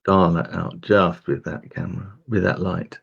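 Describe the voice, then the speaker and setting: A man hosting a livestream, chatting loosely through a software and gear demo with lots of fillers, his voice a little croaky. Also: deep voice, little pitch movement, normal speed, dull audio. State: slightly croaky voice. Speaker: host demonstrating streaming gear. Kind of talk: casual tech demo talk. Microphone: desk microphone.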